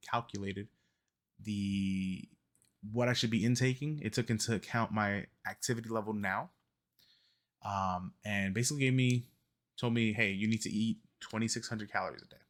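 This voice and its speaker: A man in his thirties with a low, gravelly voice talks casually to his viewers. He rambles a little, filling pauses with ums and correcting himself as he goes. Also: fairly bright tone. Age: 30s. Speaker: vlogger. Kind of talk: casual fitness vlog talk.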